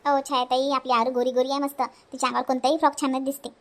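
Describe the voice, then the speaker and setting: Outspoken, sarcastic younger sister-in-law for a family cartoon, played by a woman: high, rapid, emphatic, Varhadi Marathi accent. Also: level tone, a bit bright. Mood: chatty. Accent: Varhadi Marathi from Vidarbha. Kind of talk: homely family cartoon voice acting.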